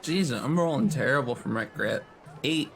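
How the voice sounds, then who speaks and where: A man's voice: medium pitch, lively intonation, moderate pace, very noisy. A man with a British accent chatting casually as a player in a tabletop game.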